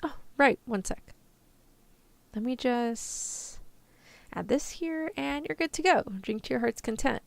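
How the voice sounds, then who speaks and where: Woman acting a creaky-voiced, tough, protective rescuer gal. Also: high-pitched, unhurried, no room sound, pitched above the performer's own voice. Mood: caring, easygoing. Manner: casual slangy reassurance, turning threatening. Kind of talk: ASMR roleplay.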